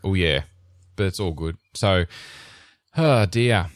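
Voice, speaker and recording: A man with a deep, low voice hosts a casual technical podcast. He rambles, restarts his sentences and laughs often. The sound is dry and close, with no room in it. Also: slow, dry recording. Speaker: podcast host.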